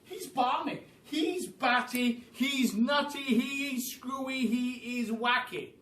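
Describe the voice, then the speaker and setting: A cheeky young monkey from a children's story played by a man exclaims doubtfully, pitched way up. The room is echoey. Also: slow, a bit bright, exaggerated voice. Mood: incredulous. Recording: roomy, distant mic with faint noise.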